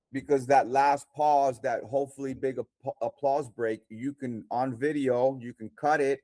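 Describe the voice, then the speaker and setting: Colombian man with a mellow, dry voice. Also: mid-range voice, no room sound. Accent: Colombian Spanish. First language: Spanish. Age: 40s. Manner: confident, practical, animated advice. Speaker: guest comedian. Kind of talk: casual livestream chat.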